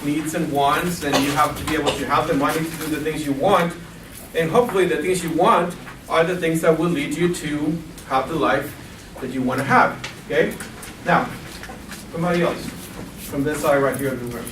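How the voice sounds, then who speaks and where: A man in his forties runs a workshop in a lecture room, talking chattily and quizzing the audience in a slightly high voice. He was recorded by a camera far away, so his voice sounds echoey and there is a lot of noise. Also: fairly even intonation, normal speed, fairly bright tone. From Guatemala and Costa Rica. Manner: chatty, asks the audience questions. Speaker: financial literacy educator. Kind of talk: classroom workshop presentation.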